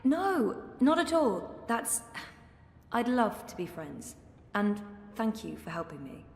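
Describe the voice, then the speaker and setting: A woman's voice: a little high, big pitch swings, slow pace, creaky, full character voice. A woman playing a reserved, weary young heroine in a video game, speaking in an understated, hesitant, conversational way. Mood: reassuring. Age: young adult. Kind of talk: video game character acting. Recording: echoey hall, faint background noise.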